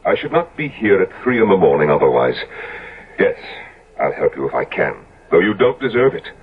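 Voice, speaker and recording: An actor playing a stern, reluctant gentleman friend in a 1970s radio drama, speaking in a formal, indignant manner. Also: sits low, very animated, brisk, husky, exaggerated voice. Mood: stern, cold. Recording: dull, lo-fi old radio recording.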